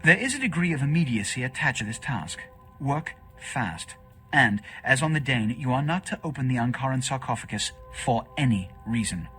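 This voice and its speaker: A man playing an authoritative, aloof vampire leader in a video game, speaking in a haughty, measured, formal way. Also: mid-range voice, animated, fairly bright tone, soft background music, natural voice. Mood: stern. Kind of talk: video game dialogue acting.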